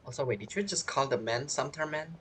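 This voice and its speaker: A woman's voice: very deep voice, fairly quick, fairly bright tone, a little hiss or hum. A woman streaming a game with casual, chatty and playful commentary. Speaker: gameplay streamer.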